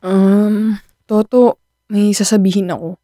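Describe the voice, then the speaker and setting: A woman's voice: mid-range voice, steady tone, takes it slowly, dark tone, no room sound, clean studio sound, close to an everyday voice. A woman with a Filipino accent playing a desperate, stubborn young woman in a radio drama. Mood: hesitant, resolute. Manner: insistent, pleading, defensive.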